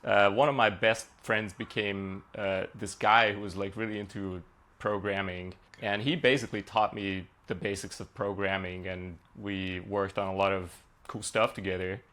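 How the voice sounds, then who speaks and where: Hungarian-born man, low voice, chatty. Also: steady tone, normal speed, bright, forceful tone. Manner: conversational with frequent uh and like fillers. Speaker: software engineer guest. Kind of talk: podcast interview, relaxed storytelling.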